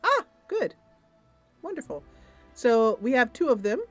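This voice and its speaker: A woman's voice: fairly high pitch, sing-song, unhurried, soft background music. A woman streamer improvising story narration over a video game in a loose, conversational way.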